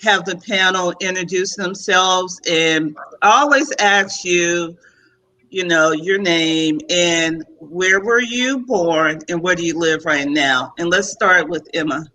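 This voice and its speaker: A woman's voice: on the low side, takes it slowly, pushed and bright, low-quality recording. A woman hosting a talk show panel in a chatty, casual way over a video call, often given to laughing. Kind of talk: casual chat moderating a panel.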